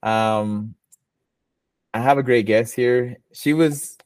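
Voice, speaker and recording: A man's voice: medium pitch, slow pace, a little mellow, clear, resonant tone, no room sound, recorded from a distance. A young man hosting a podcast in a loose, rambling way, laughing often and restarting his sentences. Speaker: podcast host. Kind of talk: casual interview chat.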